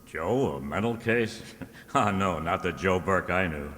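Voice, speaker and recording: A man playing an easygoing, steady private detective in a radio drama, with a colloquial, reassuring way of taking charge. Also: low voice, unhurried. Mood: wry, nostalgic. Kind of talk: old-time radio drama acting. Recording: roomy hall with long reverb.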